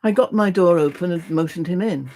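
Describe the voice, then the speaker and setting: A reader narrating a classic adventure novel aloud in an expressive storytelling style. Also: medium pitch, fairly quick. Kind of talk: expressive audiobook reading of a novel.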